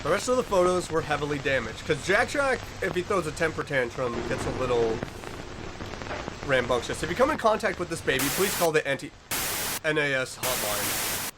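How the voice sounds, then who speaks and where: A man with a fairly high voice gives casual video commentary. He reads on-screen text aloud and muses in short fragments, with noticeable noise behind him. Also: animated, moderate pace. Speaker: reaction video host.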